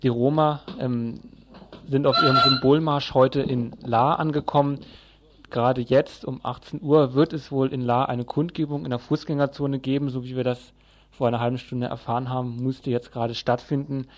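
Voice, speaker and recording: A man hosts a live news programme on community radio. His voice is medium in pitch, and he gives the rundown and announcements in a semi-scripted way. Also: steady tone, normal speed.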